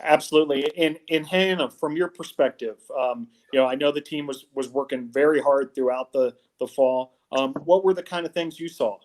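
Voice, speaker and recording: Upbeat middle-aged male host on a video call, slightly high-pitched, filler-heavy. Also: moderate pace, few pauses, a little mellow. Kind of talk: college sports video-call show. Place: office with wall logo.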